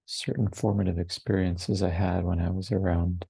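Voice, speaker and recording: A man in his forties leading a guided meditation in a hushed, unhurried way, with long pauses between short phrases. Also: low-pitched, little pitch movement, vocal fry, husky, no echo. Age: 40s. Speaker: meditation teacher. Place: online retreat over video call, dry close sound.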